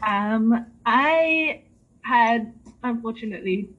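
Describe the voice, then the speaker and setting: A young woman raised in England, guesting on a podcast, soft-spoken and hesitant, with pauses. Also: fairly high pitch, highs cut off. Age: young adult. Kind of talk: casual conversation.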